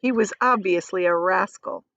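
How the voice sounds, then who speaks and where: A woman's voice: mid-range voice, normal speed, vocal fry, fairly bright tone, no room sound. A woman reading a children's story aloud expressively, like a teacher. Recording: slightly dull, lo-fi audio.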